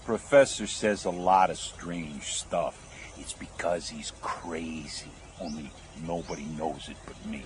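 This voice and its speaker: A man playing a bitter, hot-tempered rough criminal in an audio drama, coarse, slangy and sarcastic. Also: sits low, a little slow. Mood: sullen. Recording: loud siren and traffic noise.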